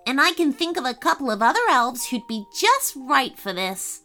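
A woman voicing a cheerful, eager young male elf in a high, chirpy voice. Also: big pitch swings, normal speed, bright, forceful tone, exaggerated voice.

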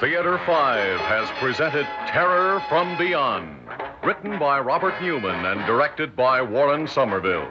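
Lively male announcer over loud music. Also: mid-range voice, a little slow. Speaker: radio announcer. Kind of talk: 1960s radio announcing, scripted credits. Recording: muffled old radio broadcast, loud music. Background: orchestral music.

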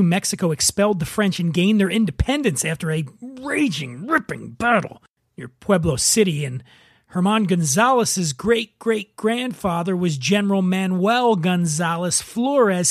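A man's voice: high-pitched, no room sound, clean studio sound. A man hosting a podcast, giving a semi-scripted review in a conversational way with wry, joking asides. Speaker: podcast host reviewing spirits.

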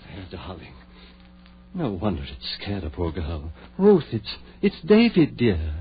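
An actor playing a confident, intense scientist husband in a theatrical 1940s radio horror drama. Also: male voice, deep voice, wildly swooping pitch, measured pace, heavily acted. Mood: pleading, distraught. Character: driven scientist husband who revived his dead wife. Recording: muffled, noisy 1940s radio recording with hum.

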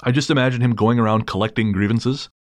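A man's voice: mid-range voice, fast talker, no room sound, studio quality. A man hosting a podcast, chatting casually and cracking crude jokes between stories. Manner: joking, crude humor with easy laughter. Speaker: podcast host.

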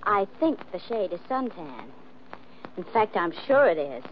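An American actress playing a detective's playful, slightly jealous young sweetheart, arch and needling, in a 1940s radio mystery on an old recording. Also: female voice, mid-range voice, very animated, unhurried, dull audio, a little hiss or hum. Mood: teasing.

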